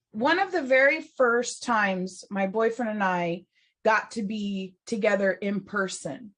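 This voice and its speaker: A woman's voice: mid-range voice, slow, a bit bright. A woman co-hosting a recovery podcast, talking reflectively with many restarts and laughing between thoughts. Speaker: podcast co-host. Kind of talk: casual chat on recovery and spirituality.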